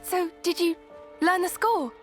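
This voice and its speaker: A timid, sweet girl in a game cutscene. She speaks slowly in a very high voice, gentle and eager to please. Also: soft background music. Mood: eager, admiring. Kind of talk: video game cutscene acting.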